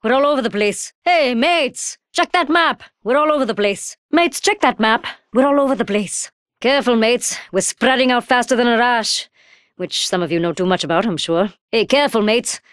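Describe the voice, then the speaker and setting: Woman as brash young female fighter, highish voice, cheeky, teasing, dry close sound, game callouts. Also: normal speed, a bit bright, no echo, natural voice. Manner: teasing, casual.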